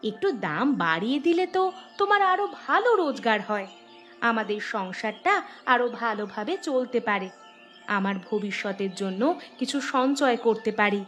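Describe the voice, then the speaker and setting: Expressive, high-pitched village wife acted by a woman. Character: practical village wife, questioning yet agreeable. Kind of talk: Bengali cartoon story acting.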